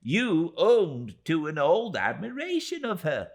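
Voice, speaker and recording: A man's voice: fairly high pitch, huge pitch swings, slow pace, voice pushed up, exaggerated voice. A man reading a storybook and voicing a tiny, emphatic, indignant middle-aged woman in distress. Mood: indignant, anguished.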